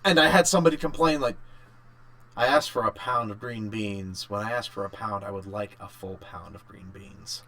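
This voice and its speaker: A man co-hosting a casual podcast chat, thinking aloud in short, halting bursts. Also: on the low side, sharp tone. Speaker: podcast co-host. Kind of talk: casual chat about games and work.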